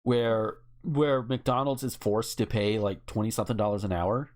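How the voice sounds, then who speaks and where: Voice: mid-range voice, fairly even intonation, moderate pace, a little mellow. An American speaker in casual, opinionated political chat, speaking emphatically and swearing freely. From United States. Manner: emphatic, profane, outspoken. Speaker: podcast co-host.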